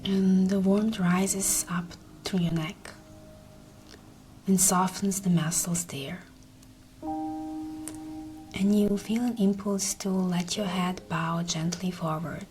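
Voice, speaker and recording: A woman narrating a guided meditation in a soft, breathy, often near-whispered voice, soothing and gentle. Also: medium pitch, fairly even intonation, slow, long pauses. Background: meditation music.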